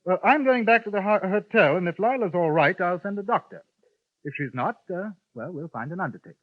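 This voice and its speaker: Calm and courteous, this gentleman gunman speaks with dry irony, his voice sitting a little high and swinging widely in pitch. The old radio recording is tinny and narrow, like a phone line. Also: a little fast. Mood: coolly ironic. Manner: dry, courteous, coolly ironic. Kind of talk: 1950s radio drama acting.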